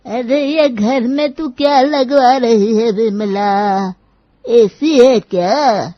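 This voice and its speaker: A woman voicing a bossy, demanding old grandmother-in-law in a cartoon moral story, imperious and scolding, with drawn-out words. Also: fairly high pitch, dry recording, lo-fi sound. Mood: suspicious. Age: older.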